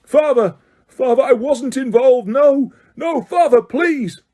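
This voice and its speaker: A man's voice: extremely high pitch, slow pace, mellow, voice pushed up. A man voicing a terrified teenage boy in chains who shrieks and pleads. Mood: pleading, screaming.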